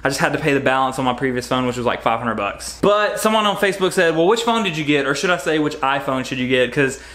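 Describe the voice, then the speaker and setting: A man's voice: mid-range voice, lively intonation, fast, a bit bright. Chatty upbeat man vlogging from his garage. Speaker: fishing vlogger. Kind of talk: casual product review vlog. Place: home garage, indoors.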